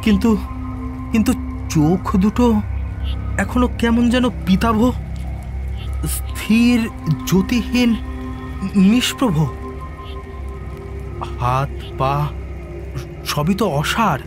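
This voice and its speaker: An obsessed scientist in an audio drama. He declaims slowly and grandly, defying God, over a music bed. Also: high voice, animated. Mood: anxious. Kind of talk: dramatised audio story acting.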